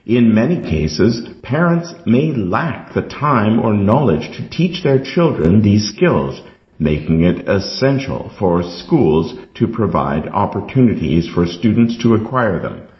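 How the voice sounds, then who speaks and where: A man's voice: fairly low pitch, big pitch swings, measured pace, dull audio. A man reading a scripted model essay aloud in the role of a reader for English exam practice.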